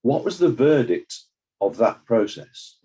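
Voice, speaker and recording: An actor playing a formal court examiner, speaking in a measured, deliberate way in a scripted dramatized inquiry. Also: male voice, medium pitch, sing-song, mellow, breathy edge. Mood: probing, neutral. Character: formal, probing male inquest examiner.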